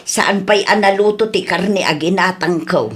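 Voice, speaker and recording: A woman's voice: medium pitch, unhurried, lightly acted. A woman with an Ilocano accent playing a chatty, advice-giving village wife in a radio comedy drama. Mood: concerned, advising.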